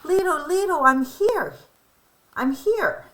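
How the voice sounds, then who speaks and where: A woman voicing an eager, excitable little boy in a children's storybook, with an excited, pleading manner. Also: very high-pitched, takes it slowly, slight background noise, pitched above the performer's own voice, exaggerated voice.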